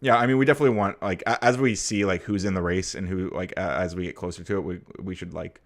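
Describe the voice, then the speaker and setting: A young man from the United States with a very low, deep voice hosts a casual livestream. He rambles through his points with plenty of fillers and restarts, and turns blunt and profane when a topic riles him. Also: fairly even intonation, fast talker. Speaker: livestream host. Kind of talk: casual political chat, reading viewer comments.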